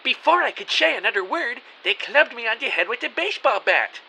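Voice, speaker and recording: A man's voice: extremely high pitch, sing-song, a little fast, gravelly, exaggerated voice. A man playing a hapless, anxious everyman, narrating in the first person in an earnest, theatrical way for an audio drama. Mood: alarmed. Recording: faint hiss.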